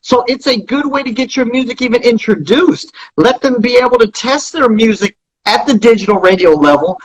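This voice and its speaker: Male interview guest, high register, husky tone, emphatic and advisory explainer. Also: expressive, normal speed, poor audio. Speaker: interview guest, radio tracking company founder.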